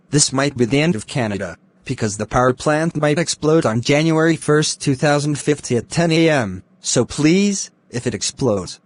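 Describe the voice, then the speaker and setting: A man's voice: a little high, no echo. A man delivering a scripted mock emergency broadcast as a formal, stilted alert announcer.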